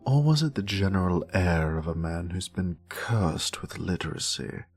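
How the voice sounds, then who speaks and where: A man's voice: sits low, lively intonation, normal speed, breathy edge, faint music behind. A man voicing a grumpy, sassy British wizard, a haughty former professor with theatrical, dryly sarcastic delivery in an audio roleplay. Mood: dry, sardonic. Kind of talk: scripted character monologue, audio roleplay.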